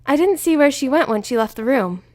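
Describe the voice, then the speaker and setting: A woman's voice: high voice, animated, a little fast, darkish tone, no echo, studio quality. Woman doing a stern, indignant pony dentist. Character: irritable adult female unicorn dentist.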